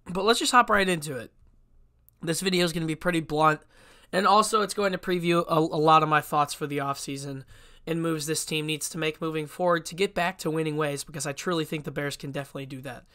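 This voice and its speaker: A man's voice: fairly high pitch, steady tone, quick pace, sharp tone. A young man with a stuffy, congested voice from a cold, hosting a casual solo sports podcast and talking bluntly with frequent restarts. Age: young adult. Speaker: podcast host.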